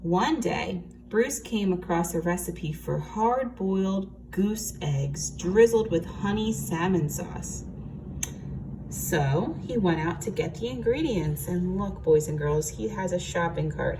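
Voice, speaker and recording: A woman reads a picture book aloud at an unhurried pace, pausing to explain things and put questions to young listeners like a teacher. The recording is noisy, with the microphone some way off. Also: lowish voice, distant mic. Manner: expressive, explains words and asks listeners questions. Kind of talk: read-aloud storytelling with asides to children.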